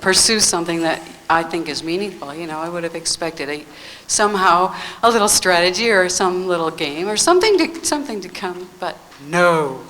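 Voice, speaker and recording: A woman's voice: on the low side, expressive, moderate pace, a bit bright, slight background noise, rough recording. A woman from the audience sharing a personal reflection, searching for her words. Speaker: audience member.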